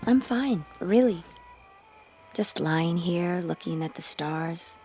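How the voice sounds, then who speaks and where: A woman playing a sweet, curious teenage girl in a radio drama, with a gentle, wondering manner. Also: on the low side, expressive, takes it slowly, soft background music. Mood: tender curiosity.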